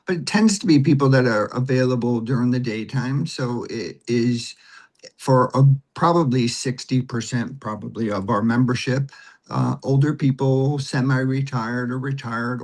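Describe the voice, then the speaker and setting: A man answering questions as an interview guest, explaining casually in halting, broken-up phrases. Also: medium pitch. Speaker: guest, community theater member.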